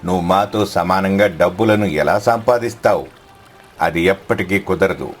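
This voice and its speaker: A man's voice: low voice, moderate pace, faint music behind, natural voice. A man voicing a haughty village fisherman in a moral story, scolding and domineering. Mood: angry, scornful.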